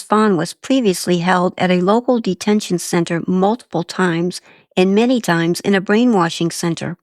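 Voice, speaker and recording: A woman reading a scripted news report in a measured, formal manner. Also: mid-range voice, no room sound, studio quality. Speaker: news reader.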